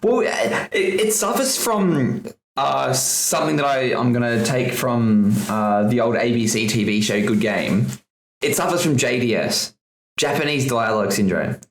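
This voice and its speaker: A man riffs through a casual podcast chat, cursing freely and laughing between his rants. His voice sits at an ordinary middle pitch. The mic picks up a noticeably echoey room around him. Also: expressive, measured pace. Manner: swears often, rants with laughter. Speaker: podcast co-host.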